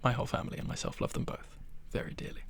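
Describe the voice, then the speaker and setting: A man of twenty-one chatting in a soft-spoken ASMR style in his bedroom, rambling and self-deprecating with soft laughs, close to the microphone. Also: racing, slight background noise. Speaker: ASMR creator.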